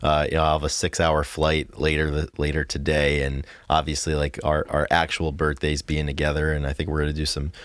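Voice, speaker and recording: A man in his twenties co-hosting a podcast, reflecting in a measured, thoughtful way during casual chat. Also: rumbling low, no room sound, clean studio sound. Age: 20s. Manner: reflective, measured, thinks aloud. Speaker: podcast co-host.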